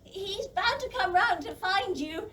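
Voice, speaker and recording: A woman's voice: very high-pitched, animated, a little slow, pushed and bright, heavily acted. A woman playing an earnest, insistent young village boy in a children's audio play, urgent and exasperated. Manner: urgent, exasperated, plain-spoken. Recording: distant microphone, background noise.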